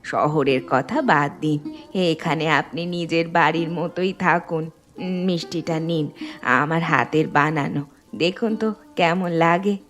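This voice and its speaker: A woman's voice: low-pitched, a little slow, a bit bright, soft background music. A woman playing a motherly, hospitable middle-aged village housewife in a cartoon. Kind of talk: cartoon dialogue dub.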